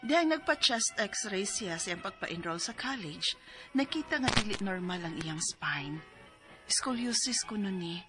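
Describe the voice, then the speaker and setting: A woman's voice: mid-range voice, lively intonation, a little slow, close to an everyday voice. A woman playing a worried, poor mother in a radio drama, plaintive and sighing, with a Cebuano accent. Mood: worried pleading. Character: worried, poor mother of an ailing college student. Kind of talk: radio drama acting and letter narration. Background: music.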